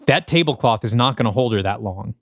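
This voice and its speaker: An actor playing a terse, wry male adventurer in an audio drama, with a deadpan, clipped delivery. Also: medium pitch, a little fast, no room sound, clean studio sound, close to an everyday voice. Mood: urgent.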